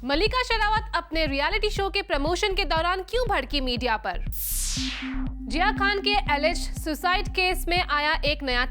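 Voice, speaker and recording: Very high, animated Indian woman news host. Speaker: entertainment news show host. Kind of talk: scripted upbeat news headlines. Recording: loud music behind the voice. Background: music.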